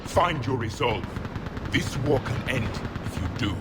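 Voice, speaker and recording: An actor voicing a stern, proud ancient alien warrior in a video game, speaking in grave, formal pronouncements. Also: male voice, medium pitch, expressive, unhurried, heavy background noise, natural voice. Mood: commanding, intense. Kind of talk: dramatic video game acting. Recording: game audio with loud music, battle sounds.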